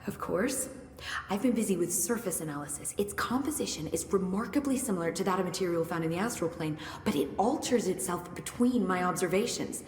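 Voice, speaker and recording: An actress playing a brisk, curious research scientist with dry wit in a video game, thinking aloud analytically. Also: female voice, lowish voice, expressive, darkish tone, lightly acted. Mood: curious, musing. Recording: echoey hall with faint noise.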